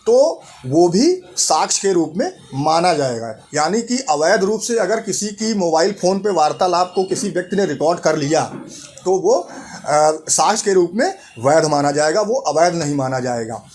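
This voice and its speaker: A man explains in a deliberate way, as a channel host, often repeating and rephrasing his points. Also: a little high, darkish tone. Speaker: host of a legal information channel. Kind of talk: explanatory legal talk to camera.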